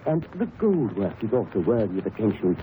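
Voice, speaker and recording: Fussy, animated museum professor. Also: male voice, on the low side, brisk. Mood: courteous, scholarly. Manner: hesitant, fussy, formal, stammering. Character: fussy, anxious male museum professor, superstitious despite himself. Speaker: museum curator. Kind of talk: 1930s radio mystery acting. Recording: muffled, noisy old radio recording.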